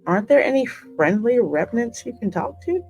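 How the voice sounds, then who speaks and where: A woman's voice: fairly high pitch, expressive, fairly quick, a little mellow. A woman hosting a game playthrough, reading the player character's lines theatrically and reacting casually between them. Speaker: gaming host voicing the player character. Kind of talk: dramatic script reading mixed with casual reactions. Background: game music.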